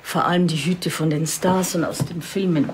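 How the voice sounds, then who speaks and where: An actress playing a chatty, haughty, well-off widow in a TV crime comedy. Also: female voice, lowish voice, steady tone, normal speed, slight background noise, close to an everyday voice. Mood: chatty, disdainful.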